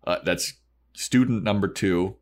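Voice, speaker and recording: A man with a somewhat low voice talks as a podcast co-host. He retells events casually, leaning on emphatic words as he goes. The delivery is informal and conversational. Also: a little slow. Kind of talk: casual episode recap.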